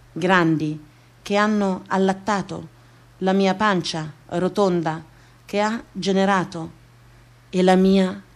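A woman performing a theatrical radio monologue as a tender, self-loving woman, speaking deliberately with incantatory repetition. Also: on the low side, darkish tone. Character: tender woman lovingly listing her own body parts.

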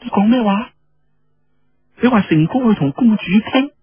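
Guy doing a heartbroken naive young woman, cantonese, high pleading raspy voice, storybook drama. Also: animated, faint music behind, full character voice. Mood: anxious, alarmed. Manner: plaintive, drawn-out, tearful. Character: distraught, lovelorn young woman, naive and despairing. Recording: dull lo-fi radio sound with room echo.

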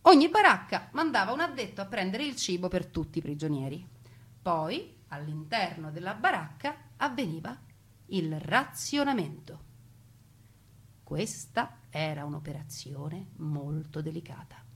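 A woman delivering a theatrical narrated monologue, expressive, with deliberate pauses and emphasis. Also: on the low side, husky. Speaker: stage storyteller.

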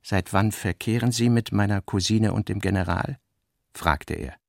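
A male narrator with a deep, gravelly voice reads literary prose soberly and with measured phrasing. The sound is close and dry, as in a radio drama. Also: fairly even intonation, darkish tone, dry recording.

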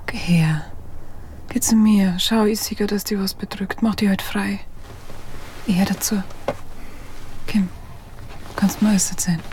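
Actress as whispering, tender southern German sweetheart. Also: female voice, mid-range voice, steady tone, a little slow, pauses often, dark tone, a little hiss or hum, lightly acted. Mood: soothing, concerned. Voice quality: breathy, whispering. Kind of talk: intimate bedside radio drama dialogue.

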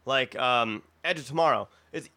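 A man's voice: medium pitch, normal speed, pushed and bright. A man chatting casually and eagerly as a panelist on a podcast. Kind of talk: casual excited group chat.

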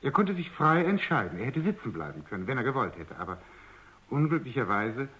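A wry, very animated male scientist. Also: medium pitch, a little fast. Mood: wry, concerned. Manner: wry, expressive, argumentative. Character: skeptical, sardonic male research scientist. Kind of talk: German radio drama acting. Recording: muffled, dull radio drama recording.

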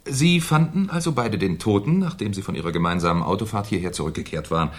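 A male actor plays a courteous police inspector in a 1970s radio crime drama. His voice is deep and moves expressively as he probes with pedantic, halting questions. He sounds quietly sceptical beneath the politeness. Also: lively intonation, lightly acted. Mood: methodical, courteous. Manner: hesitant, probing, pedantic questioning with deliberate pauses.

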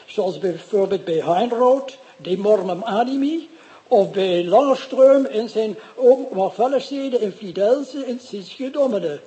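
An actor playing a fussy, pedantic, long-winded professor in a radio drama. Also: male voice, high voice, lively intonation, unhurried, warm tone.

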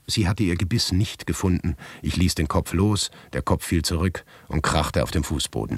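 A morbid, harried writer in a literary radio play, speaking in a very low, husky voice. The delivery is deliberate and dry, sometimes sinking toward a whisper, recorded close and without any room sound. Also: male voice, a little mellow, no echo, full character voice. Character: morbid, harried male writer recounting his grim predicament. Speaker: actor narrating as the protagonist. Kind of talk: literary radio drama monologue, first-person narration.

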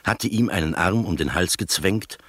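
Composed gentleman storyteller for radio play; low voice, dry close sound, literary narration. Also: moderate pace, no echo. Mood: measured, recounting. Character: composed gentleman narrator recounting his adventure.

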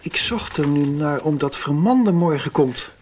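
Harried, defensive office manager. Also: male voice, a little high, animated, measured pace, darkish tone, a little hiss or hum. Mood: worried, defensive. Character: worried, evasive male office manager. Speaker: actor in a radio soap. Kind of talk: 1990s Dutch radio soap acting.

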